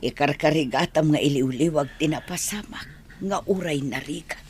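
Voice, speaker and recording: A woman with an Ilocano Filipino accent playing a gentle mother consoling her son in a radio drama. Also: sits low, normal speed, slight background noise, close to an everyday voice. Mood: gentle comforting.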